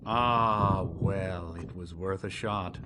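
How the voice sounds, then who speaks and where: An actor playing a manipulative, smooth-talking male villain in a video game, coaxing and grandiose. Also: deep voice, slow, background noise. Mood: coaxing, sly.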